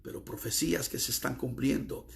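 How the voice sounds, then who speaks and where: A man's voice: medium pitch, brisk, gravelly. A middle-aged man from the United States, an evangelist preaching emphatically, repeating phrases and stretching key words. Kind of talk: preaching monologue.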